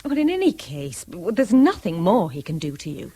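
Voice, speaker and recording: A woman's voice: fairly low pitch, huge pitch swings, normal speed, mellow, husky, full character voice. An actress playing a loyal, insistent woman in a radio drama, earnest. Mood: insistent, concerned. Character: loyal, insistent woman reassuring a troubled man. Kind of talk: 1970s British radio drama acting.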